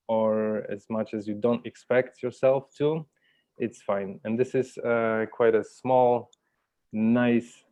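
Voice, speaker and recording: A man leading an informal online workshop over a video call, speaking haltingly and encouragingly. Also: medium pitch, mellow, lo-fi sound. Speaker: workshop host. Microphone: video call microphone. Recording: video call with background music.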